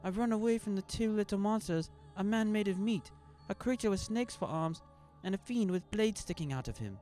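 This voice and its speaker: A man voicing a frightened little red-headed boy who yells in a high, loud, panicky voice. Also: lively intonation, normal speed, soft background music. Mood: pleading.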